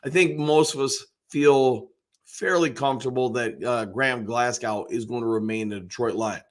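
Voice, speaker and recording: Hoarse, folksy older man. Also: mid-range voice, moderate pace. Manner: rambling, folksy, lots of fillers. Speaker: host. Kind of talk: casual live-stream chat.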